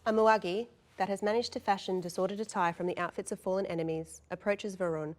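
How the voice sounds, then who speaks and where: A woman's voice: mid-range voice, fairly even intonation, fast talker. A woman narrator reading stage directions and scene descriptions at a table read.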